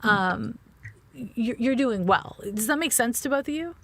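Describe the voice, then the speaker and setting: A woman's voice: a little high, animated, a little slow, gravelly, a bit bright. A woman giving a conversational astrology reading as a podcast guest, speaking frankly and informally with casual swearing. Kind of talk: conversational birth chart reading.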